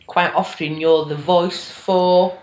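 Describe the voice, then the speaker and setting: A woman's voice: on the low side, fairly even intonation, takes it slowly, darkish tone. 40s woman, emphatic, agrees a lot, group chat, echoey room, muffled lo-fi audio. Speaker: podcast participant. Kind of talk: casual group discussion. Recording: roomy, dull lo-fi recording.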